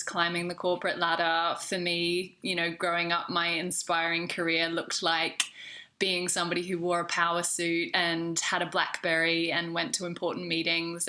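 A woman with an Australian accent speaks as a podcast guest, reflective and thoughtful. Her voice is bright and forward, sitting a little low in pitch. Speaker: guest, business coach. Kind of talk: podcast interview. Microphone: remote video call.

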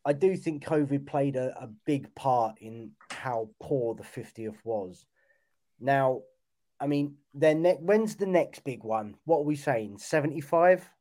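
A British man in his thirties, co-hosting a casual podcast chat, grumbling and sarcastic with quick asides. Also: mid-range voice, expressive, darkish tone. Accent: British English. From United Kingdom. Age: 30s. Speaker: podcast co-host.

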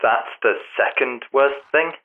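A man's voice: medium pitch, slow pace. A man acting in an audio drama as a concerned, steadying friend, questioning and reassuring over a telephone. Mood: puzzled, concerned. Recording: narrow phone line with some noise.

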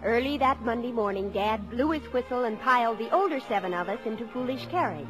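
Casting: young actress for eldest daughter and storyteller, very lively and high, old radio play. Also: female voice, fairly quick, lightly acted, rough recording. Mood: wry, reminiscing. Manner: expressive storytelling. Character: spirited eldest daughter telling her family's story. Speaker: radio actor. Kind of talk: 1950s radio play acting and narration. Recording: vintage 1950s radio recording with music.

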